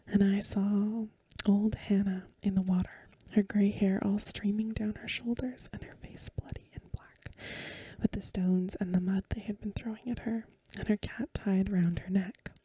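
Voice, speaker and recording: A woman reading a story in a gentle, breathy, whispered ASMR style. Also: medium pitch, steady tone, unhurried, slight background noise, poor audio. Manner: gentle, hushed delivery. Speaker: ASMR reader.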